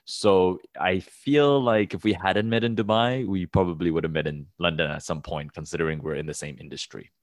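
A man's voice: sits low, steady tone, moderate pace, hardly any pauses, dry recording. A young man co-hosting a casual podcast, talking in loose rambling sentences with fillers and restarts. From Taiwan. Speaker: podcast co-host.

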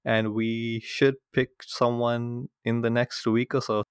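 Male podcast host with a smooth, dry, close voice; upbeat and even. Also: medium pitch, slow, dry recording. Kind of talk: podcast interview, scripted show opener.

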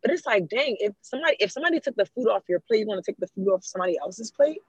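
Animated young woman, husky voice. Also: fairly high pitch, rapid-fire, no room sound. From Ghana. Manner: animated storyteller, quotes people in dialogue. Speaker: guest. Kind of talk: casual discussion. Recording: muffled, slightly lo-fi.

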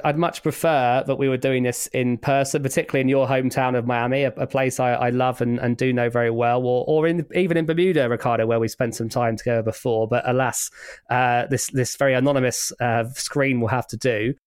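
Man, podcast host, interview style, halting delivery with repeated words, mid-pitched voice, dry recording. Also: steady tone, smooth, no room sound.

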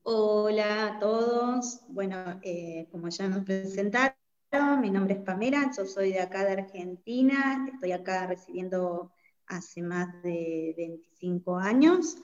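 A woman's voice: medium pitch, measured pace, darkish tone, lo-fi sound, soft background music. A woman from Bolivia speaking as a podcast guest, explaining her work conversationally and checking in with little tag questions. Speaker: podcast guest, migration social worker. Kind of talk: conversational interview answers.

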